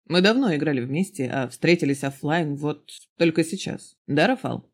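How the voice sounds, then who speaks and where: Storybook role: narrator plays mild, friendly, evasive man, casual delivery, soft husky tone. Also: mid-range voice, animated, moderate pace.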